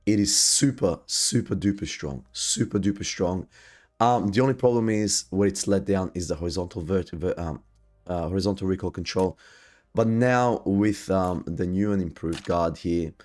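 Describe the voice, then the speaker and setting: Deep-voiced man, sick and losing his voice. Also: moderate pace, darkish tone. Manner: upbeat, hyped recommendations with frequent ums. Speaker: gaming video host. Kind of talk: gaming gear guide commentary.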